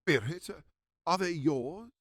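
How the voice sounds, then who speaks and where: A man's voice: on the high side, expressive, slow, fairly bright tone, dry recording, clean studio sound. A man playing a humbled older miser in a radio drama, speaking earnestly and formally to spirits. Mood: troubled.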